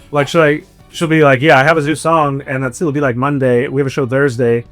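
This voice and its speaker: A man's voice: mid-range voice, steady tone, normal speed, a bit bright, faint music behind. A man, an indie band musician, chatting casually and jokingly in an interview. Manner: joking, banter with bandmate.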